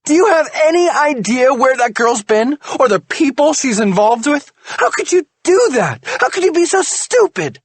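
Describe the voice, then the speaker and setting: A man's voice: fairly high pitch, animated, slow, pauses often, husky, no echo, voice pushed up, full character voice. A man voicing a betrayed wife in a screaming, tearful rage in an audiobook. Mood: furious. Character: furious betrayed wife, shrieking, adult woman. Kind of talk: audiobook character voice.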